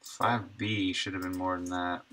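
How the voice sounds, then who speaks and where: Guy streaming game dev, kinda low voice but really crisp and bright, mumbling his thoughts as he codes. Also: little pitch movement, a little slow, slight background noise. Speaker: game developer livestreaming. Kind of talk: casual think-aloud coding commentary.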